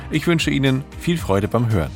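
A man's voice: mid-range voice, expressive, normal speed, over music. A man presenting a scripted radio introduction to a story.

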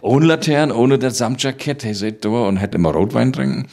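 An older man with a northern German, Low German accent, telling anecdotes as an interview guest and lively raconteur. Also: moderate pace, gravelly. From northern Germany, Baltic coast. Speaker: interview guest, theatre writer and dramaturge.

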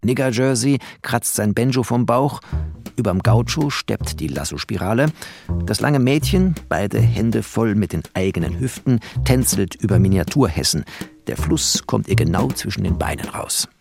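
Low gravelly bookish male narrator. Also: animated, moderate pace, over music. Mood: wry, sombre. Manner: terse, poetic, clipped phrases. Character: bookish male refugee narrator, wry and tender. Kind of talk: literary radio drama narration.

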